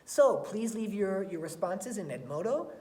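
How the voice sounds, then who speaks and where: A woman teacher reading aloud and talking to students in a deliberate, emphatic way. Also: medium pitch, lively intonation, mellow. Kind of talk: expressive read-aloud and lesson talk for children. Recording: roomy, echoey sound.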